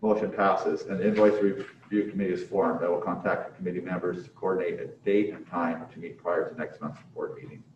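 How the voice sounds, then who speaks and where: Guy chairing a board meeting, real low voice, going thru agenda stuff, muffled distant room mic. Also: level tone, brisk, few pauses, darkish tone, roomy sound, a little hiss or hum. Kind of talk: formal meeting business, reading out agenda items. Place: indoor council chamber. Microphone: distant room microphone on a video call.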